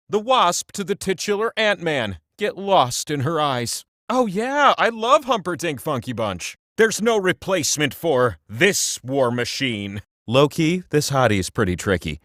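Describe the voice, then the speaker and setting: Sentient quiz wheel for a comedy game: high, swooping voice, theatrical, cheeky, easily peeved. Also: male voice, fairly bright tone, dry recording, studio quality. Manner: theatrical, punchy delivery with sardonic asides. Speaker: quiz show host. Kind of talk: comedic video game voice acting, quiz patter.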